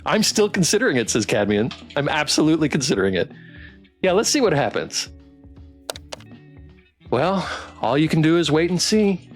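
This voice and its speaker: A man's voice: on the high side, big pitch swings, moderate pace, long pauses. A man streaming a game playthrough, chatting casually, reading narration and thinking aloud. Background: music.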